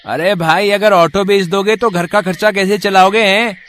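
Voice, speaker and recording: A man's voice: high-pitched, steady tone, moderate pace, a bit bright, a little hiss or hum, voice pushed up. A man voicing a friendly auto dealer who questions and advises emphatically in an acted moral story. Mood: helpful, advising.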